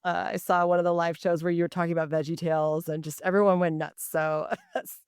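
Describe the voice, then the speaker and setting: A woman's voice: lowish voice, flat delivery, fast, a little mellow, smooth, no room sound, clean studio sound. A woman hosting a conversational podcast interview.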